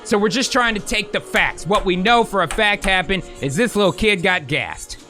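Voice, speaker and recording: High, bright-voiced American man rambling. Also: animated, normal speed, soft background music. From United States. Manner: rambling, profane, thinks aloud. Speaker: host. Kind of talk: casual livestream commentary.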